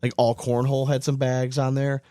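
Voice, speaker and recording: A man's voice: medium pitch, monotone, fairly quick, warm tone, no room sound. American man chatting casually, dry close sound. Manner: rambling, informal, frequent "like". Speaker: podcast co-host. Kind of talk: casual chat.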